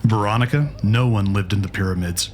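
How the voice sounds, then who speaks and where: A man's voice: on the low side, brisk, slight background noise, natural voice. A man voicing an earnest history teacher who explains things in a didactic, scripted lecture for a comic dub. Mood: weary.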